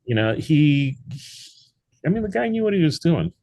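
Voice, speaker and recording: A man's voice: on the high side, big pitch swings, normal speed, a little mellow. A middle-aged man, a theatre founder, chatting as an interview guest, rambling with many restarts and fillers. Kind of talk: casual interview chat.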